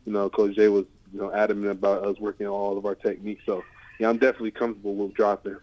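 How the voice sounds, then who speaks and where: A man's voice: lowish voice, flat delivery, racing. A man in his twenties from the United States, an athlete giving informal interview answers full of "you know" and "like" on a conference call. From United States (California and Ohio). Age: 20s. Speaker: American football player, linebacker. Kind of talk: casual press interview answers. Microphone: remote conference call. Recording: dull, lo-fi conference call audio.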